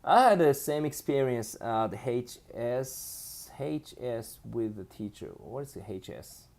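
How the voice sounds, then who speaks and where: A man's voice: mid-range voice, lively intonation, slow pace, vocal fry, a little mellow. A man with a Japanese accent hosting a casual live stream, reading viewer comments aloud and laughing often as he chats.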